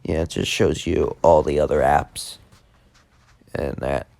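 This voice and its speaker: A man giving a casual, unscripted tech demo in a halting, repetitive way that tends to trail off. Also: a bass voice, steady tone, creaky, darkish tone. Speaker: video host demonstrating a walkie-talkie app.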